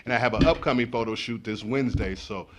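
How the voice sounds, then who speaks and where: A man's voice: medium pitch, fast talker, noisy background. A man from San Bernardino chatting as a studio guest, upbeat and grateful in tone. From San Bernardino, California. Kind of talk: casual chat.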